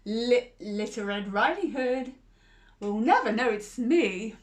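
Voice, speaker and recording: A woman's voice: fairly high pitch, animated, slow. A woman voicing a snarling, greedy big bad wolf in a children's storybook reading, growling and gloating. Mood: sly growling.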